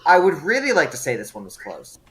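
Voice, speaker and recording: A man's voice: fairly high pitch, wildly swooping pitch, fast, fairly bright tone, a little hiss or hum. A man hosting a casual online group chat, reading out award results with many fillers and restarts.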